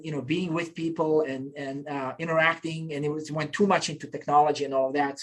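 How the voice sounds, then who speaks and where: A man in his fifties from Bosnia, telling his story as an interview guest in a relaxed, reflective way with frequent restarts. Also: on the high side. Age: 50s. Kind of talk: casual conversational storytelling.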